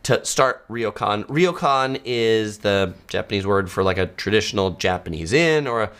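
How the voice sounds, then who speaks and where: Male travel host, low-pitched, unhurried, filler-heavy conversational delivery, live Q&A feel. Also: clean tone. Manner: relaxed, conversational, many ums and uhs. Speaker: travel channel host answering viewer questions. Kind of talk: casual live Q&A. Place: home studio.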